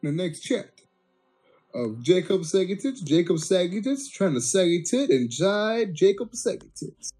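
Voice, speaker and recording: Mock-dramatic amateur story reader with wide pitch swings. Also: sing-song, normal speed, mellow, husky, soft background music. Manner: mock-dramatic, crude, breaking off into asides. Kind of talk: dramatic reading of a comic story.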